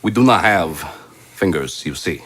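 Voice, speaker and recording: A man playing a pompous, fussy stuffed animal toy in an improvised comedic audio drama, speaking in deliberate, halting phrases. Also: deep voice, animated, a bit bright. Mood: pompous reasoning.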